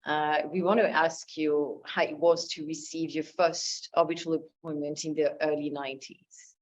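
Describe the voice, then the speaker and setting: A woman's voice: deep voice, little pitch movement, moderate pace. A woman hosting an interview, putting questions to a guest. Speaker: interviewer, host. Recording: dull lo-fi sound.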